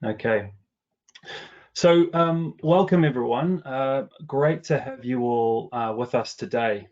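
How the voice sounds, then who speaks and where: A man's voice: mid-range voice, darkish tone, highs cut off. New Zealand man presenting a webinar. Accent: New Zealand, partly anglicized after years in England. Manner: many fillers and restarts, measured business tone. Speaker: commercial director presenting research.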